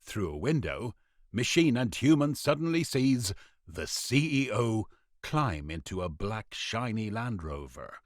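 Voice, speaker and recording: A man narrating a scripted radio play in a dramatic, deliberate storytelling manner. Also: fairly low pitch, sing-song.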